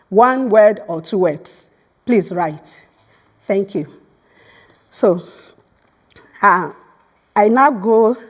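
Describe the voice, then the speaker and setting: A woman's voice: mid-range voice, a little mellow. A woman whose first language is Yoruba, giving an academic lecture as a guest speaker in a lecture hall, earnest and apt to repeat words as she starts a phrase.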